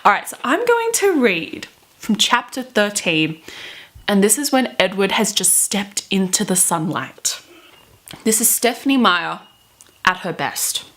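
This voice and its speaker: A young adult woman with a theatrical, expressive way of talking, doing dramatic readings and chatty commentary to camera in a bedroom. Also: medium pitch. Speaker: book vlogger. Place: small bedroom, indoors.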